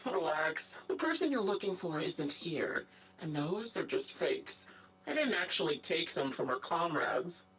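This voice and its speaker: An actress voicing a sadistic, gloating female sniper villain in a video game, mocking and theatrical, with drawn-out false politeness. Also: deep voice, sing-song, a bit bright, recorded from a distance, heavily acted, low-quality recording. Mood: mocking, amused. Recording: music behind the voice.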